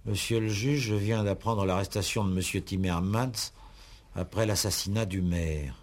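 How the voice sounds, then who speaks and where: An actor playing a troubled investigating judge in a 1960s French radio play, musing and questioning hesitantly. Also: male voice, on the low side, steady tone, normal speed, slight background noise, close to an everyday voice. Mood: reading gravely. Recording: old radio drama recording.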